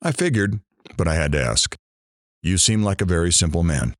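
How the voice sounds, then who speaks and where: An actor playing a chatty, showy radio talk show host in an audio drama. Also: male voice, sits low, big pitch swings, normal speed, dry recording, studio quality. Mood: upbeat, showy.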